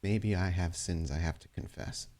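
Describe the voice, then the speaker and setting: Smug vampire crime boss acted by a man: low, measured, formal, quietly menacing, for a tabletop horror game. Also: fairly even intonation, close to an everyday voice. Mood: taunting.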